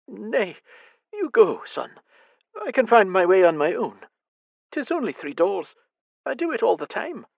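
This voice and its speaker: A man voicing a timid, fretful elderly blind noblewoman who stammers softly in an audiobook. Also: medium pitch, very animated, unhurried, creaky, pauses often, dry recording, muffled recording, voice pushed up, full character voice. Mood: gently firm. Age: older. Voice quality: stammering, soft and hesitant.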